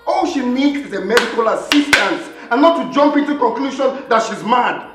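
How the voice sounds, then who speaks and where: A man's voice: extremely high pitch, animated, normal speed, very noisy. A man with a Nigerian accent playing a headstrong, indignant prince in a film drama, speaking emphatically and repeating himself. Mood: defiant. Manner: emphatic, repetitive, defiant. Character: headstrong, indignant prince defending his marriage. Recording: roomy echoing film sound.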